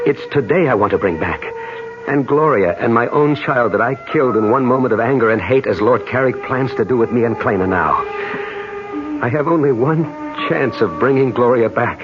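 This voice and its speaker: A man's voice: medium pitch, animated, moderate pace, over music, close to an everyday voice. Actor as an intense, obsessed man, muffled old radio. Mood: grim resolve. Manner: intense, theatrical, earnest. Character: obsessed, brooding man haunted by jealousy and past lives. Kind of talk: 1970s radio drama acting and first-person narration. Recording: dull, noisy 1970s radio broadcast.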